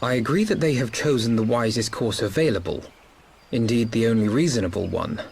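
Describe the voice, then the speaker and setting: A man's voice: mid-range voice, expressive, a little fast, creaky, a little mellow, slight background noise. An actor playing an earnest, thoughtful young nobleman, speaking formally and articulately in a fantasy game cutscene. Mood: pensive, uneasy. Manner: formal, articulate, archaic wording.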